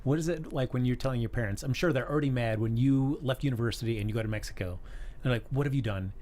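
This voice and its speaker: Quick-talking male interview host. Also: mid-range voice, a little mellow. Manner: quick, encouraging, laughs along. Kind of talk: casual interview conversation.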